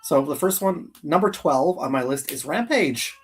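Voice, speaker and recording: A man's voice: on the high side, lively intonation, moderate pace, soft background music. A man hosting a casual geek countdown stream, talking in an informal, emphatic, opinionated way. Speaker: host of a geek countdown livestream.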